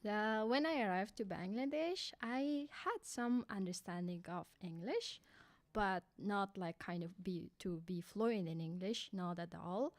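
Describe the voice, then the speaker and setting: A young woman from Afghanistan with an Afghan accent, a radio guest talking in a hesitant way with lots of fillers, into a boom mic in a studio. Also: expressive, measured pace, no echo. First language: Hazaragi. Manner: hesitant, many fillers like "like" and "kinda". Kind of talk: casual interview answers. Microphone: boom mic with headphones.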